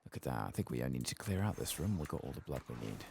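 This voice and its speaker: A man doing soft-spoken ASMR commentary as he plays a video game, murmuring to himself in a low-key way with a gravelly voice. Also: big pitch swings, rapid-fire, noisy background. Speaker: gaming streamer. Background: keyboard typing and mouse clicks.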